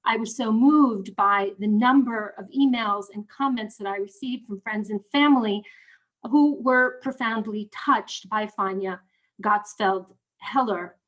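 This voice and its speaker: An American woman with a fairly high voice gives prepared lecture remarks. She speaks as a professor addressing an audience as its guest speaker. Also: fairly bright tone, rough recording. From New Haven, Connecticut, United States.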